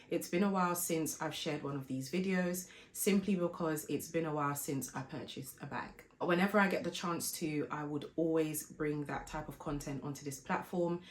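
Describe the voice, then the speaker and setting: A woman, hoarse from a flu, chatting politely as a vlogger telling a shopping story before an unboxing. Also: low voice. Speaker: fashion and lifestyle vlogger. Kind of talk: casual unboxing vlog chat.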